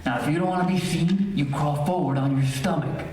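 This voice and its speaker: A man's voice: fairly high pitch, a little fast, over a noisy crowd, close to an everyday voice. An actor playing a boastful, cowardly, middle-aged father in an old-time radio comedy, pompous and flustered. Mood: pompous, scheming. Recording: echoey hall from far off, faint hiss.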